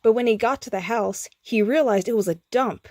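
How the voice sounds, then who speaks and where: A woman narrating a scripted bedtime story in a theatrical, deliberate manner. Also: on the high side, a little mellow.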